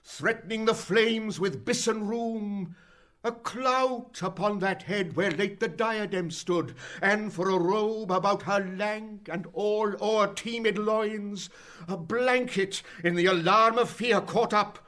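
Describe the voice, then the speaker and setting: High-voiced player acted by a man, slow measured delivery, solemn fervour, theatrical Shakespearean verse, audio drama. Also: a bit bright. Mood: sorrowful, solemn. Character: travelling stage actor declaiming a tragic war speech, solemn and fervent.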